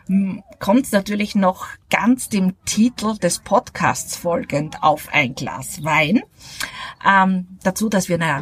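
A woman's voice: on the low side, breathy edge. A woman hosting a podcast, chatting enthusiastically with a guest over a glass of wine outdoors. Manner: chatty, enthusiastic, frequent fillers. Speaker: podcast host. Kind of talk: casual interview over wine.

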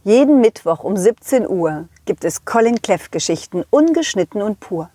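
Husky woman telling children's stories. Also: medium pitch, big pitch swings, moderate pace, dark tone, no echo. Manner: playful, addresses children directly. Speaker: puppeteer and storyteller. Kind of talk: children's story narration.

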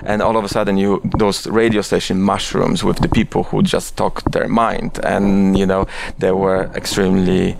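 A deep-voiced middle-aged man with a Polish accent answers in an interview. His delivery is fairly flat in pitch and gravelly, full of restarts and repeated words. There is slight noise on the recording. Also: moderate pace, few pauses. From Poland. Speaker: interview guest, advertising executive. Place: office.

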